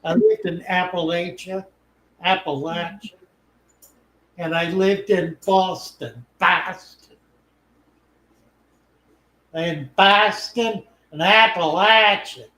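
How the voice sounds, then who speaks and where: An older man hosting a live stream, chatting in a slow, unhurried drawl. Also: high voice, bright, forceful tone. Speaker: host. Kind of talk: casual live stream chat. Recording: echoey room.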